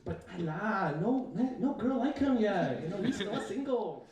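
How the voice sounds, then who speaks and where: A man's voice: high-pitched, very animated, moderate pace, heavy reverb, recorded from a distance, crowd noise. A young man voicing his nagging, bragging Chinese immigrant mother with a Chinese accent and broken, sing-song English. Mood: doting.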